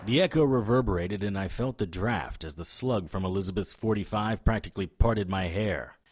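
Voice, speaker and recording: An actor playing a gruff, wry, hard-drinking brawler who tells his own story in a hard-boiled audio drama. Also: fairly low pitch, normal speed, natural voice. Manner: sardonic, terse.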